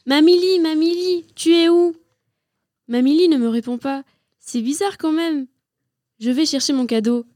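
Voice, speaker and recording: A woman playing an excitable, curious sixteen-year-old Iranian girl in a student radio drama, exclaiming and thinking aloud. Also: high voice, unhurried, long pauses, no room sound, clean studio sound. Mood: eager, puzzled.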